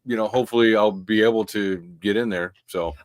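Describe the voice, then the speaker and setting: A man's voice: fairly low pitch, fairly even intonation, brisk, no echo. A man with a radio voice, a comic creator guest talking easily and modestly, with some hesitation. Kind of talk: casual interview chat.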